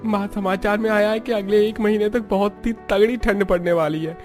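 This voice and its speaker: A man acts out a dejected young village labourer in a cartoon. His voice is high for a man and sounds downcast and complaining, over light music. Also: fairly even intonation, normal speed, lightly acted. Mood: worried.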